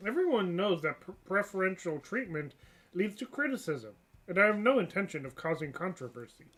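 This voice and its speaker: A stuffed-up channel host reading the part of an evasive, dryly self-assured young female lawyer in a game story, giving cool, deadpan excuses. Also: medium pitch, a little fast. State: stuffed-up nose.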